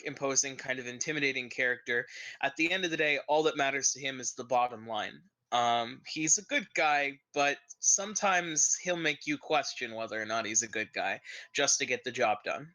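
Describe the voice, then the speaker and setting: Matter-of-fact man, bright voice. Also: medium pitch. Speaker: player. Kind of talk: casual character introduction.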